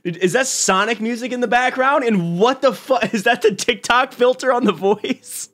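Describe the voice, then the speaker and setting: A young man in his twenties hosting a stream, reacting to clips with excited, laughing commentary from a small home room. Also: extremely high pitch, moderate pace, faint music behind. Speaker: streamer host. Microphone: boom mic on arm.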